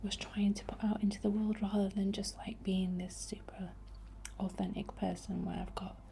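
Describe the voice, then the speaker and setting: A young woman whispering breathily and gently as she guides an ASMR meditation in an informal, unhurried way. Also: mid-range voice, flat delivery, gravelly, slight background noise. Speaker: ASMR host guiding a healing session.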